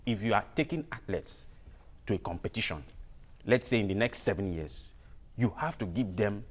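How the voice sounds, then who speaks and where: A man's voice: on the low side, breathy edge. A man with a Nigerian accent, a sports scientist, arguing emphatically in a heated TV studio debate and often restarting his sentences when cut off. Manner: emphatic and lecturing, repeats himself when interrupted.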